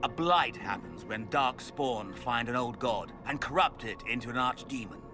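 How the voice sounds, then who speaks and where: An actor playing a smug, theatrical villain, a cultist mage lord with a pompous, mocking manner in a video game cutscene. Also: male voice, mid-range voice, lively intonation, normal speed, music in the background, natural voice. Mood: mocking, sly.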